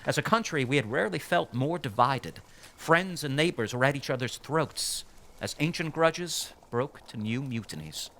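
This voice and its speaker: A man playing a grave public radio documentary host, narrating in a measured, scripted way with dramatic pauses. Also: medium pitch, expressive, fairly bright tone, a little hiss or hum, low-quality recording. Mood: solemn. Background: music under the voice.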